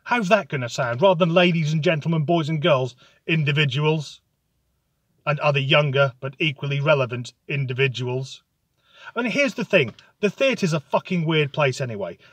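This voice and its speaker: Male comic ranter, sarcastic and deadpan, slightly high voice, dry close sound. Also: moderate pace, no echo. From United Kingdom. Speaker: comedic ranter. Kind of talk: sardonic comic monologue.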